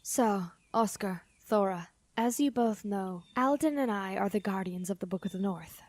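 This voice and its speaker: A calm but firm mother who guards dark magic played by a woman in a fantasy audio drama. Her medium-pitched voice is serious and deliberate, with a protective weight to it. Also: no echo, close to an everyday voice.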